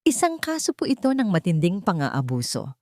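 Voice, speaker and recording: A woman's voice: lowish voice, wildly swooping pitch, moderate pace, darkish tone, dry recording, clean studio sound. A woman narrator voicing a frightened woman who pleads politely and earnestly in a letter, with a Filipino accent. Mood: pleading.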